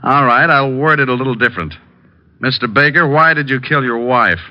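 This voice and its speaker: An actor playing a cool, wisecracking private detective with a dry, needling manner, in 1940s radio style. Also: male voice, medium pitch, a little fast, close to an everyday voice. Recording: dull vintage radio recording, music behind.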